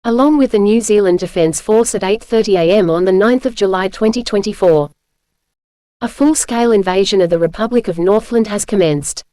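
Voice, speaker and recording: Female announcer, soft dark tone, mid pitch. Formal, measured delivery of a scripted government emergency alert. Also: dry recording.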